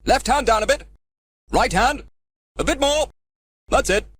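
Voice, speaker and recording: A man's voice: very high-pitched, expressive, unhurried, fairly bright tone, a little hiss or hum, voice pushed up, exaggerated voice. A man voicing a commanding middle-aged fire station officer in a children's storybook, clipped, authoritative and emphatic. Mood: directing.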